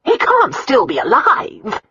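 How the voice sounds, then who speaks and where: An older countess in a storybook drama, shrill and very high, swooping through indignant wails and whines. She is greedy and full of self-pity, stretching her words out. The sound is slightly dull and lo-fi. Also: big pitch swings, fairly bright tone, slightly rough, no room sound, heavily acted. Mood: shocked anger. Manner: wailing, whining, indignant, drawn-out words. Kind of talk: audiobook character acting.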